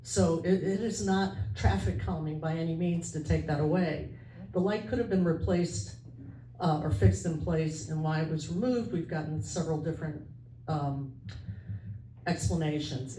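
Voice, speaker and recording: A woman's voice: low voice, dark tone, a little hiss or hum. A woman representing her neighborhood association, making a formal request at a public meeting with earnest words and hesitant fillers. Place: meeting hall. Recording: echoey hall from far off.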